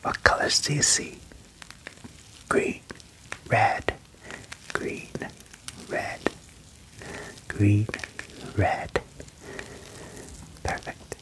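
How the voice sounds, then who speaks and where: A young adult man performs a soothing ASMR doctor roleplay, mostly in a breathy whisper. His delivery is slow and low, with gentle reassurance. He speaks right up close to the microphone. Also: poor audio. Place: small indoor room. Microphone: close desk mic with furry windscreen.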